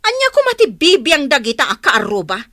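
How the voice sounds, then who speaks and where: A woman's voice: sits high, wildly swooping pitch, a little fast, sharp tone, heavily acted. A woman playing a nagging, exasperated wife and mother who scolds and complains in an Ilocano comedy radio drama.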